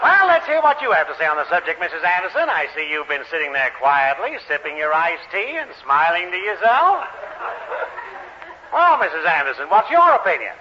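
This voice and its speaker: A man playing a breezy, wry, brisk newspaper reporter in a 1940s radio sitcom. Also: fairly high pitch, very animated. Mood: wry, puzzled. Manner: brisk, wisecracking. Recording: muffled, noisy 1940s radio recording.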